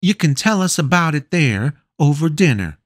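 A woman's voice: deep voice, big pitch swings, normal speed, slightly rough, dry recording, clean studio sound, pitched above the performer's own voice, full character voice. A man voicing a big, bossy, doting mother who talks in a fussy, folksy, insistent way.

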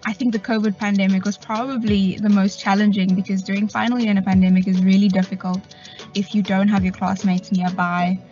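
A woman's voice: mid-range voice, flat delivery, a little fast, darkish tone, highs cut off, faint music behind. A young woman graduate giving a testimonial from a car, laughing often. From South Africa. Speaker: recent graduate. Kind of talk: testimonial to camera. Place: in a parked car.

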